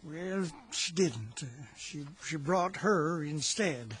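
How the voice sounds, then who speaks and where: An actor voicing a shy, gentle older farmer who speaks haltingly and stammers, in an audio drama. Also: male voice, a little high, lively intonation, slightly rough, full character voice. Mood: awkward. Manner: hesitant, stammering, slow. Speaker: actor playing Matthew.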